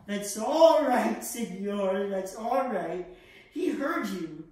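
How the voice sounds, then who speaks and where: A woman's voice: mid-range voice, expressive, slow pace, warm tone. A teenage woman playing a soothing, deferential male servant on stage. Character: soothing male servant calming his master. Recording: echoey room, distant microphone.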